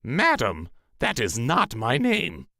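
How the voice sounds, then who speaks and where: A man's voice: mid-range voice, huge pitch swings, slow, creaky, bright, forceful tone, dry recording, studio quality, exaggerated voice. Man putting on a singsong little storybook dwarf. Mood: taunting. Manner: theatrical, singsong chanting. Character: little male dwarf, merry, sly bargaining magical creature. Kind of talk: storybook character voice.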